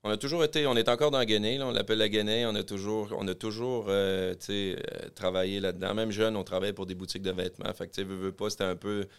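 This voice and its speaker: A man from Quebec City with a Québécois accent, a podcast guest telling his business story in fast, rambling casual chat. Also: on the low side, steady tone, clear, resonant tone. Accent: Québécois French. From Quebec City, Canada. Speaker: podcast guest, clothing-store co-founder.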